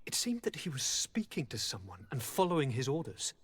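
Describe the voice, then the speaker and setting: A husky, animated young nobleman. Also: on the high side, fairly quick, full character voice. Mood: animated recounting. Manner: theatrical, wry, courtly, sighing. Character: young highborn gentleman, cheeky, blithe, affectionate cousin. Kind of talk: dramatic fantasy game acting.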